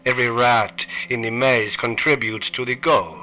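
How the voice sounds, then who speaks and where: Sinister dealer, male, cold and clipped, bright edgy tone, hushed menace, played by a man. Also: mid-range voice, steady tone, moderate pace, soft background music, lightly acted. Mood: cold, menacing. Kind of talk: radio play acting.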